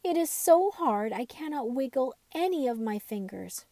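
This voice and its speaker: A small male doll acted by a woman from a children's storybook. He is polite and a bit plaintive. His voice is lifted higher and stays mellow. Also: expressive, moderate pace, no echo. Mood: worried.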